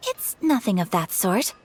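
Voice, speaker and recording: A woman playing a refined young noblewoman in a video game, gentle, courteous and formal. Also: medium pitch, slightly rough, exaggerated voice. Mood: composed, courteous. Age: young adult. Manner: courteous, formal, softly hesitant.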